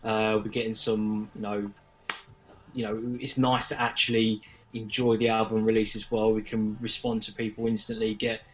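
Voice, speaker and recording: A man's voice: fairly low pitch, little pitch movement, normal speed. A British man, a band member, chatting casually as an interviewee on a remote call. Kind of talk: casual chat. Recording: slightly dull remote call audio.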